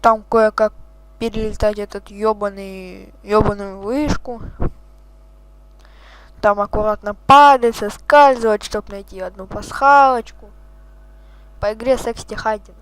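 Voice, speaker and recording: A man's voice: sits high, fairly even intonation, measured pace, long pauses, fairly bright tone, smooth, no room sound, rough recording. A man hosting a gaming channel, chatting casually and swearing as he reacts to the game. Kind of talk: casual let's-play commentary.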